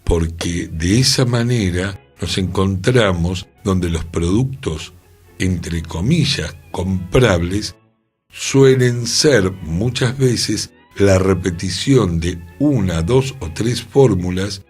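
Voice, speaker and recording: A man co-hosting a cultural radio program, reflecting aloud in a slow, musing way. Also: deep voice, darkish tone, breathy edge, soft background music. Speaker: radio co-host. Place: radio studio.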